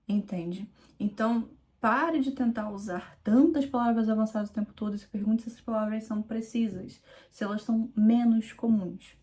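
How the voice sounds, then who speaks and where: A woman's voice: medium pitch, warm tone. A young adult woman from Rio de Janeiro with a Carioca accent, coaching viewers in a direct, conversational teaching video, emphatic and repetitive. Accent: Carioca Brazilian Portuguese. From Rio de Janeiro, Brazil. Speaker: IELTS speaking mentor.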